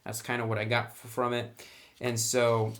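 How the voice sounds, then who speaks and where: A man with a mid-pitched voice talks through a review in a casual, conversational way. He sounds enthusiastic about his material, explaining it informally as he goes. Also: completely flat delivery, moderate pace, fairly bright tone. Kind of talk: casual tabletop rulebook review.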